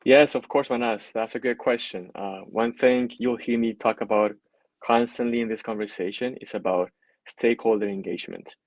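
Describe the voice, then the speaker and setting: A man's voice: medium pitch, steady tone, normal speed, no echo, low-quality recording. A man speaking as a panelist, giving his introduction and views in a discussion. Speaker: panelist, design strategist.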